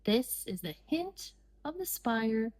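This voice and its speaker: Slow-paced woman reading a picture book. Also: a little high, sing-song, a little mellow. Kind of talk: slow read-aloud storytime for young children.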